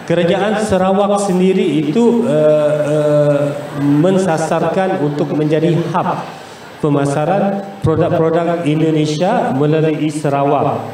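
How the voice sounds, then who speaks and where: A man from Malaysia with a Malaysian accent gives explanatory interview answers as a business guest, pausing and searching for words. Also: on the high side, warm tone. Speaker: business guest, investor and trade promoter. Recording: echoey, distant mic with faint noise.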